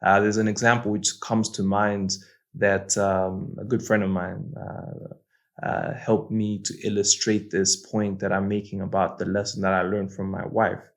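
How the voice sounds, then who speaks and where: Man, young adult, from Malawi, low steady voice, earnest reflective channel host. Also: a little slow. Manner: earnest, repeats words while thinking. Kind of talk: casual reflective monologue.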